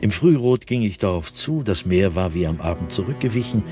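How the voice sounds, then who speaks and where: A man playing an anguished, fearful, solitary diarist in a radio drama, speaking in a husky, brooding voice with sudden swells and hushed drops. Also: deep voice, expressive, fairly quick, soft background music. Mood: awed. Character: anguished, fearful, solitary male diarist haunted by nightly dread. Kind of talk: German radio drama monologue, diary read dramatically.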